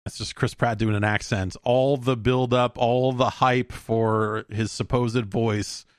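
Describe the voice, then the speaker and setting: A middle-aged man hosting a podcast, giving casual solo commentary on news in a rambling, halting way with repeated words. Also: mid-range voice, steady tone, dry recording, studio quality. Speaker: podcast host.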